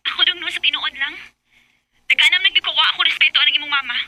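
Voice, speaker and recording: Very high-pitched young wife. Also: female voice, rapid-fire, bright, forceful tone, rough recording. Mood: aggrieved, defiant. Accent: Cebuano Filipino. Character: fed-up, assertive young wife standing up for herself. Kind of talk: Bisaya radio drama acting.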